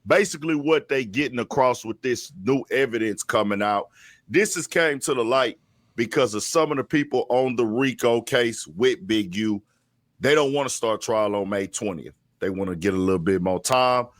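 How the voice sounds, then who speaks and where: A man's voice: medium pitch, moderate pace, no echo, studio quality. A man hosting a talk show, giving casual, blunt, slangy street-style commentary on the news. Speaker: host commentator.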